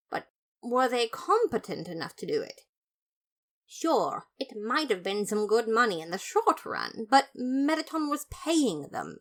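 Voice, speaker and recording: A woman's voice: a little high, a bit bright. A woman narrating a first-person comic detective story in a dramatic, mock-serious manner. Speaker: fan fiction narrator.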